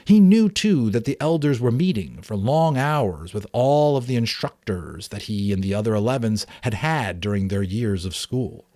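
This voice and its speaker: A man reading a novel aloud as a dramatic audiobook, expressive, with offhand asides. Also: medium pitch, moderate pace, hardly any pauses, dry recording, studio quality. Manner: expressive storytelling. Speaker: amateur audiobook reader.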